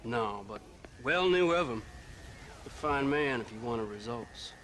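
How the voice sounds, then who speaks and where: A man's voice: medium pitch, animated, a little slow, a bit bright, rough recording. An actor, a man, playing a grumbling, world-weary government agent with an American Western accent in a game. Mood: weary, envious. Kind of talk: video game cutscene acting. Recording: clear outdoor ambience noise behind.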